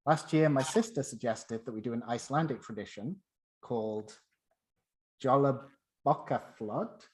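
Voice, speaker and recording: A man's voice: medium pitch, a little slow, darkish tone. A man from the UK with a British accent, presenting a semi-scripted personal anecdote.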